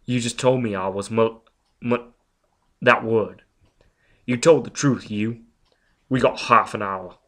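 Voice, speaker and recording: Man voicing a gruff brute, loud, blunt, broken grammar, menacing storybook thug. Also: medium pitch, takes it slowly, long pauses. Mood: threatening. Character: hulking, uneducated, menacing young man.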